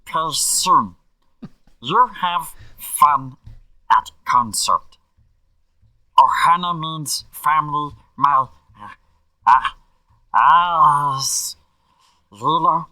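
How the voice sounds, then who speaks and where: A man's voice: a little high, extremely slow, pauses often, pushed and bright, voice pushed up, heavily acted, rough recording. A man voicing a small, vengeful alien creature in a throaty cartoon voice, speaking broken English with menacing cuteness. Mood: vengeful, sulky.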